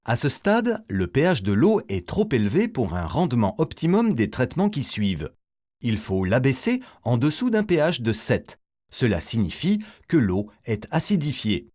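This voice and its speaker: Male explainer narrator, very animated intonation. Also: mid-range voice, normal speed. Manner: didactic, step-by-step explaining. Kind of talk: scripted educational narration.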